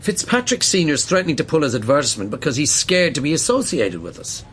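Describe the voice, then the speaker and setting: Casting: actor for firm, pressured Irish newspaper boss, male, radio play, emphatic swings of pitch, slight noise. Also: wildly swooping pitch, quick pace, fairly bright tone. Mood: firm, regretful. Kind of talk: naturalistic radio drama acting.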